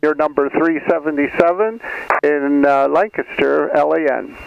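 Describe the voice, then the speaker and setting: A man's voice: a little high, steady tone, normal speed, vocal fry, clean tone. A man operating amateur radio in a contest, exchanging short procedural contacts with phonetic spelling. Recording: dull, lo-fi audio with noise.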